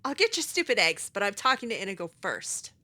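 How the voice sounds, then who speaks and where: Woman roleplaying a game, sarcastic, exasperated, bright sharp voice, lively rising and falling. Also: medium pitch, brisk. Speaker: let's play host roleplaying her character.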